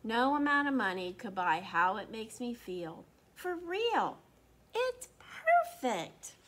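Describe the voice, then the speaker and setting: A woman's voice: fairly high pitch, very animated, slow, a bit bright, slight background noise, heavily acted. A woman voicing a loving, soothing mother from a children's storybook, reassuring her child with tender emphasis. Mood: tender, admiring. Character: loving, soothing, admiring mother of a young boy.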